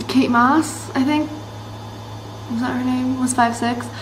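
A teenage girl from Massachusetts chatting to her webcam in a bright room, rambling and bubbly with lots of fillers. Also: sits high, steady tone, slow. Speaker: vlogger. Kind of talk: casual chat to camera. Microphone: built-in camera mic, close webcam framing. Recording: roomy echo, faint background hiss.

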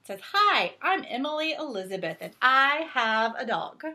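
A middle-aged woman reading a picture book aloud to children as a teacher, expressive and deliberate, with drawn-out emphasis. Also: sits high. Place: small home bedroom, indoors.

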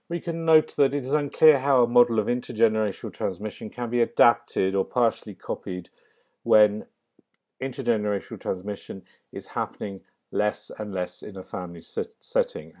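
Man lecturing over slides, low-pitched, mellow tone, measured and academic, frequent ums. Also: muffled recording.

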